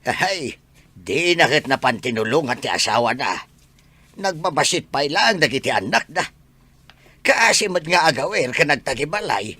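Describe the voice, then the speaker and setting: Man as a forceful, disapproving Ilocano gossip. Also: fairly high pitch, big pitch swings, normal speed, full character voice. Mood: disapproving, pitying. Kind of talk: Ilocano audio drama acting.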